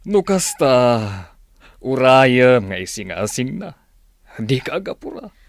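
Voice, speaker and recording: A man playing a calm, cautious father in an Ilocano radio drama, measured and admonishing, with an Ilocano accent. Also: medium pitch, dry recording, studio quality. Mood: cautioning. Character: calm, cautious father advising his daughter.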